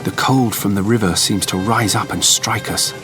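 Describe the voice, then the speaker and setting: A man's voice: lowish voice, steady tone, normal speed, music in the background, close to an everyday voice. An actor playing an earnest, determined young Victorian husband, narrating his diary in a formal, urgent manner for an audio drama. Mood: urgent, anxious.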